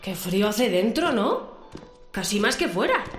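A woman's voice: medium pitch, sing-song, a little slow, echoey room, faint music behind. A woman playing a cheeky, flustered young girl in a radio drama, bluffing her way along, with an accent from Spain. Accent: Spanish from Spain.

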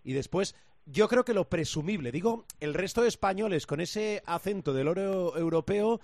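A man's voice: high voice, expressive, moderate pace, vocal fry, darkish tone, dry recording, clean studio sound. A man hosting casual sports talk, prompting colleagues with hesitant phrasing. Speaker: sports show host.

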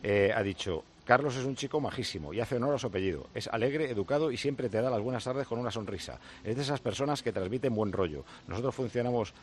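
A male radio host with an accent from Spain and a medium-pitched voice. He interviews in a casual, conversational style, with an informal, teasing manner. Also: expressive, fast, hardly any pauses, a little hiss or hum. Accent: Spanish from Spain.

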